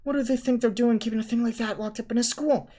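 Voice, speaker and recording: A man's voice: a little high, lively intonation, fast, pitched above the performer's own voice. A man voicing a blunt, easily panicked young schoolboy. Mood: indignant.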